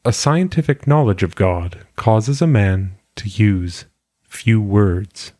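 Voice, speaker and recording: Deep male narrator reading old maxims, solemn and measured, super dry close sound. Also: darkish tone, no echo. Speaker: reader. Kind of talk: solemn audiobook-style reading of philosophical maxims.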